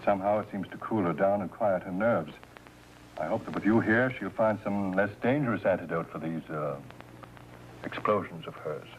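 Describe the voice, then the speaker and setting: An actor playing a frank, well-off employer in a 1950s crime drama, deliberate and tactfully businesslike. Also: male voice, sits low, lightly acted. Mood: frank, concerned. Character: measured, frank, well-off husband hiring a chauffeur. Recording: dull, noisy old film soundtrack.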